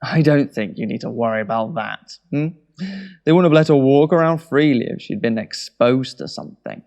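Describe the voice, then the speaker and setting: A man playing a controlled, ruthless government minister in an audio drama, with a measured, coaxing way of talking. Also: medium pitch, gravelly, darkish tone, lightly acted. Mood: soothing. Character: calm, ruthless male government minister hiding a conspiracy.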